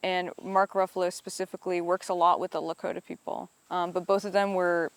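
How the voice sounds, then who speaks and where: Woman film editor talking shop, smooth clear voice, dry close sound, lots of "i think". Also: on the low side, little pitch movement, a little fast, dry recording. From upstate New York. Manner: thoughtful, hedging with "I think" and "you know". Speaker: documentary director and editor. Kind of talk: reflective interview answers.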